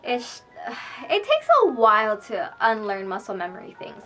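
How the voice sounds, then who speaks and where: A woman's voice: mid-range voice, very animated, unhurried, low-quality recording. A young woman from Hawaii talks casually and confessionally to camera, like a vlogger, in a room at home. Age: young adult. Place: small room at home, indoors. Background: soft background music.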